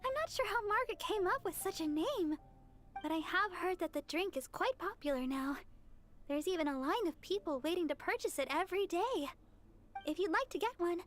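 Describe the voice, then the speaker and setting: A woman playing a sweet, eager, polite young female church idol in an anime video game, speaking courteously and earnestly. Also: very high voice. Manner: courteous, earnest, gently bubbly delivery. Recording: game music behind the voice. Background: game music.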